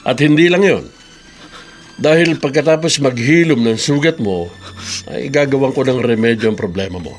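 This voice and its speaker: A man acting as a kindly middle-aged boss in a radio drama. He speaks at a medium pitch, consoling and assured. Some noise and ambience sit behind him. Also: normal speed, lightly acted. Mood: reassuring. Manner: calm, consoling, authoritative.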